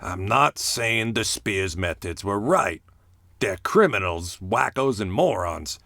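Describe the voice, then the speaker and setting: A man plays a gruff government agent for a comic dub. His low, gravelly voice swings up and down as he argues in blunt slang, skeptical throughout. Faint music sits behind him. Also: animated, slow, sharp tone, no room sound, studio quality, full character voice.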